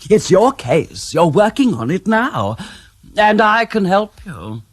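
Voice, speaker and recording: A quietly certain clairvoyant. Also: male voice, sits high, sing-song, slow pace, breathy edge, no echo, studio quality, full character voice. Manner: quiet, certain, faintly ominous. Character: calm, insistent male clairvoyant, adult. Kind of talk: radio drama acting.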